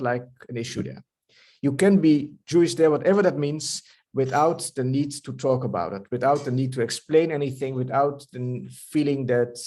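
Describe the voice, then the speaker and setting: A man's voice: a little high, darkish tone. A man in his fifties with a Dutch accent, a novelist answering interview questions in a thoughtful way, often restarting and repeating words. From Netherlands. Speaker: novelist guest.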